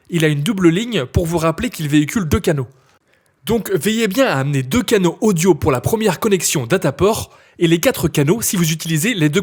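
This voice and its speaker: A man's voice: on the high side, breathy edge. A man narrating a scripted tutorial as an instructor, explaining things step by step.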